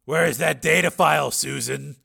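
A hard, embittered cyborg man as a male reader acts him out in a storybook reading. His voice is very creaky and tense, pitched on the high side, and he pushes each challenging question forcefully. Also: normal speed, heavily acted. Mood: grim, insistent. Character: hard, bitter cyborg man demanding answers.

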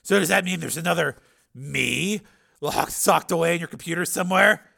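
A man voicing a hard, bitter cyborg man in an audiobook, gravelly and forceful, pressing with challenging questions. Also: fairly high pitch, moderate pace, voice pushed up, heavily acted. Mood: probing, sharp.

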